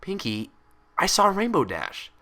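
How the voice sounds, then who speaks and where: A man's voice: sits high, huge pitch swings, a little slow, pushed and bright, pitched above the performer's own voice, heavily acted. A man voicing an earnest, easily exasperated young female cartoon pony with an urgent, dry, defensive way of talking. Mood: urgent, flustered.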